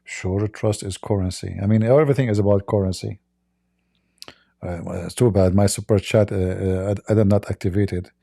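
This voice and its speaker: Mellow-voiced sarcastic male commentator. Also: on the low side, steady tone, brisk, gravelly. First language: not English. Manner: sarcastic, laughs often, broken English. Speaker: livestream host. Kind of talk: mocking commentary on video clips.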